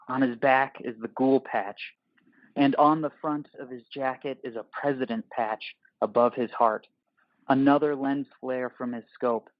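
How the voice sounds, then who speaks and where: A man's voice: medium pitch, no room sound, highs cut off. A man reading scripted, terse action narration for an audio play.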